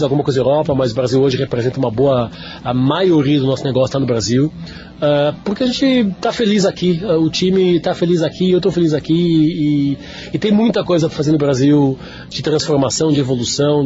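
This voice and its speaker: A man with a Lisbon Portuguese accent talks as an executive guest on a radio interview. His voice is soft and mellow, somewhat high, and he rambles with fillers and restarts. The broadcast sound is dull and lo-fi. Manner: talkative, many fillers and restarts.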